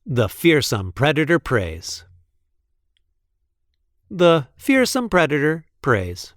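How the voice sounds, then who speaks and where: A man reading a picture book aloud expressively, with deliberate, playful emphasis on the sentences. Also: a little high, wildly swooping pitch, dry recording, clean studio sound. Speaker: read-aloud storyteller.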